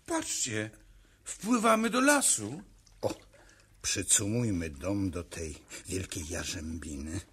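An actor playing a cautious, kindly father creature in a children's radio play, speaking in a deliberate, murmuring way. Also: deep voice, very animated, a bit bright, breathy edge, full character voice. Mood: calm, busy.

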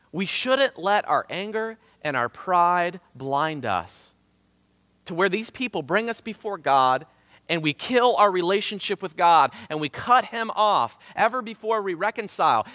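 A man in his thirties with a Southern Ohio accent preaches from a church stage, his voice bright and forceful. He leans on rhetorical questions, and his pitch rises and falls widely. The sound carries a long hall reverb. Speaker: pastor. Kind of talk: sermon. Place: church stage in front of a drum kit.

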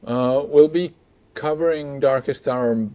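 A man discusses film casually as a podcast co-host, in a hesitant, halting way. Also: mid-range voice, dark tone. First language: Finnish. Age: 40s. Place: small indoor stage with curtains, seated at a table.

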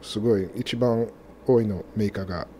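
Halting man, mellow voice. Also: on the low side, a little hiss or hum. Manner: halting non-native speech with frequent restarts and laughs. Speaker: co-host. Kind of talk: casual radio chat.

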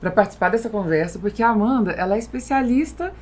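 A woman's voice: mid-range voice, expressive, quick pace, a little mellow. A middle-aged woman with a Brazilian accent interviewing conversationally as a podcast host, close to the microphone in a small studio. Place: small studio with acoustic foam, indoors. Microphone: close podcast microphone on boom arm.

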